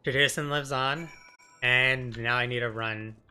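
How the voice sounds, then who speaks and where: A man's voice: mid-range voice, fairly even intonation, measured pace, bright, forceful tone, clean tone, rough recording. A man in his thirties giving casual, joking, rambling let's play commentary as a streamer.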